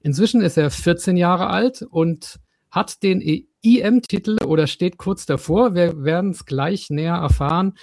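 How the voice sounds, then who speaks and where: A man's voice: sits high, a little slow, vocal fry, darkish tone, no echo, studio quality. A man hosting a podcast interview, asking long questions with many fillers and restarts. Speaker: podcast host. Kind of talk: casual interview.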